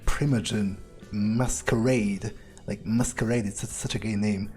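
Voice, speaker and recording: A man's voice: fairly low pitch, steady tone, unhurried, a little mellow, faint music behind. A man roleplaying through a video game, thinking aloud in a hesitant, sardonic, sometimes profane way. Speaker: game player roleplaying a character.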